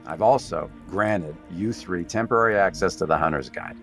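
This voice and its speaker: A seasoned expedition guide with a very low voice. He is measured, dry and commanding, as in a science fiction radio play, with music underneath. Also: gravelly, a bit bright. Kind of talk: audio drama acting with narration.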